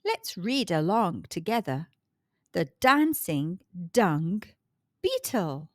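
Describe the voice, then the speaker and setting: A woman hosting a children's storytime, reading a story aloud in an expressive storytelling style. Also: lowish voice, takes it slowly, no echo, studio quality. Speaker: children's storytime host and narrator.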